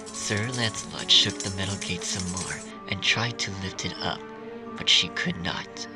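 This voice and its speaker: A man's voice: deep voice, unhurried. A man reading a story aloud as narrator, in a scripted audiobook style. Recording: music under the reading.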